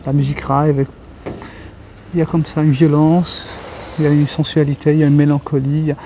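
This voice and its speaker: Thoughtful man, mellow voice. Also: a little high, little pitch movement, fast talker, slight background noise. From Algeria. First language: Kabyle. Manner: thoughtful, searching for words. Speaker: interviewee, writer and journalist. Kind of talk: reflective interview talk.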